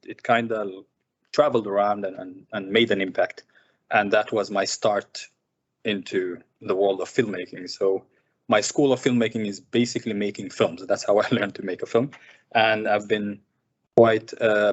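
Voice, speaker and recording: A filmmaker who grew up in Sudan, speaking thoughtfully at a seminar with many fillers and repeated words. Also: male voice, fairly low pitch. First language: Arabic. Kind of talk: reflective personal talk. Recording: muffled lo-fi recording.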